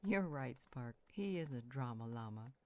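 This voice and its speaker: A woman's voice: extremely low pitch, lively intonation, normal speed. A woman voicing a playful, teasing vampire healer in an audio roleplay. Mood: amused, teasing.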